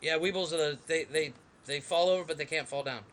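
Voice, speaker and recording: Bright-voiced easygoing male co-host. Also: fairly high pitch, a little fast, a little hiss or hum. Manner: easygoing, reading lists aloud. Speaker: podcast co-host. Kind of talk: casual live-stream chat.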